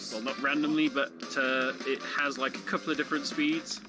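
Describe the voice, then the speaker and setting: A man talks through a video game as a casual commentator, with loud game music behind him. His voice sits at an average pitch, and he explains things in a hesitant, conversational way. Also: flat delivery, fairly quick. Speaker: speedrun commentator.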